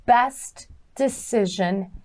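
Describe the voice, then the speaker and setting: A middle-aged woman telling personal stories as an interview guest, in an animated conversational way, acting out bits of dialogue. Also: medium pitch, very slow. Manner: animated storyteller, acts out quoted dialogue. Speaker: guest, dating and relationship coach.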